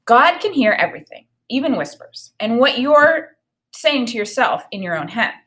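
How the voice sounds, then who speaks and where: A woman's voice: on the high side, big pitch swings, moderate pace, a bit bright. A woman voicing an earnest, reassuring young boy in an audiobook-style story, with an emphatic, childlike, know-it-all manner. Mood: confidently explaining.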